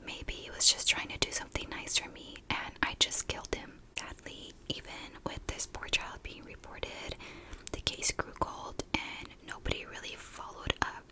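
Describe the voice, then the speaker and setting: A woman telling a true crime story in a soft, breathy ASMR whisper, casually and gently, in short halting phrases. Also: rough recording.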